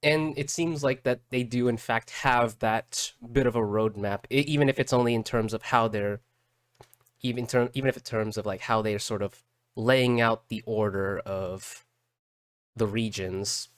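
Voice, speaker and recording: A man's voice: mid-range voice, fairly even intonation, no echo. Man thinking aloud in a dry, close recording. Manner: halting, thinking aloud, repeating words. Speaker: streamer reacting to game videos. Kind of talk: casual live reaction commentary.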